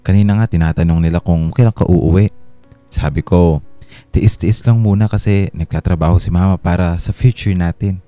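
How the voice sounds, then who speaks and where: Radio drama husband male actor: deep, very soft-edged, patient and gentle, with guitar music underneath. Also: normal speed, dry recording, lightly acted. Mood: reassuring. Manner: gentle, reassuring. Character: patient, reassuring husband and father at home.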